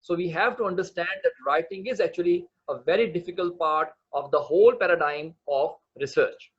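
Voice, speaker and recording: A man's voice: on the high side, fairly quick, husky, muffled recording. Man from Pakistan giving an online lecture. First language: not English. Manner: hesitant, many fillers, earnest and explanatory. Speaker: medical writing instructor.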